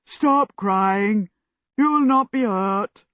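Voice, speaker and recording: A woman voicing a huge male djinn in a storybook, booming and ponderous, trying to keep control. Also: no echo, dull audio. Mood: desperate cooing. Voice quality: booming, loud. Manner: slow, ponderous, drawn-out words.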